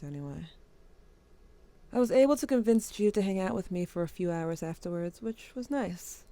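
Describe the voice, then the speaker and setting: A woman's voice: lowish voice, mellow. A woman voicing a grieving teenage boy who writes to his dead brother, wry and bitter, with a subdued tone. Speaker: narrator. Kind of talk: audio drama monologue.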